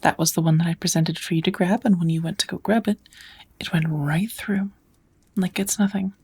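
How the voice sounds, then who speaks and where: A woman voicing a kind, patient witch in a soft, often whispered ASMR roleplay, teaching magic in a gentle, measured, slightly formal way. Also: fairly low pitch, fairly even intonation, breathy edge. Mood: calm, patient.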